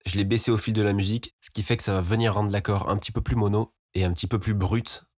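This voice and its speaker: Fast, low-voiced man teaching. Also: fairly even intonation, loud music behind the voice. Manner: informal, explanatory. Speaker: music production tutorial host. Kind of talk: software tutorial voice-over.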